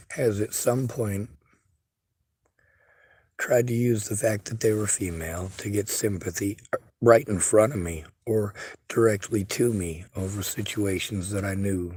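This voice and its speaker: A man's voice: lowish voice, a little mellow, slightly rough, dry recording. A man livestreaming, reading viewer chat and speaking bluntly and earnestly in a casual monologue. He has a stutter and a speech impediment.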